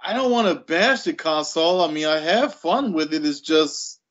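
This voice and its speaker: A man in his late thirties, a guest on a podcast, telling stories with animated energy and chuckling between thoughts. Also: on the high side, normal speed. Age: late 30s. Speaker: guest writer. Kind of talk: casual interview storytelling. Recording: dull lo-fi audio.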